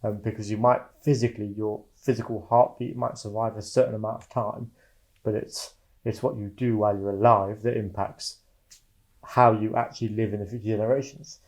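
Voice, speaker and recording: A man's voice: fairly low pitch, little pitch movement, a little fast, a little mellow, poor audio. A man thinking aloud reflectively while answering documentary interview questions.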